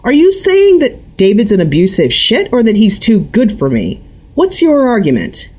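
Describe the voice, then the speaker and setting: A woman's voice: on the high side, huge pitch swings, normal speed, a little mellow, voice pushed up. Woman reading as a tense, defensive grown daughter. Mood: angry. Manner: clipped, defensive, strained.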